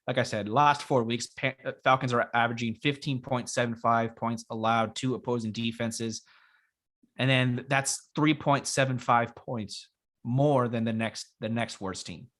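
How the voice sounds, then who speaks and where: A young man co-hosting a casual fantasy football show, his voice raspy from being sick, talking in a relaxed, rambling way with many fillers. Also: medium pitch, normal speed. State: raspy after illness. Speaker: podcast co-host. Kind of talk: casual sports chat.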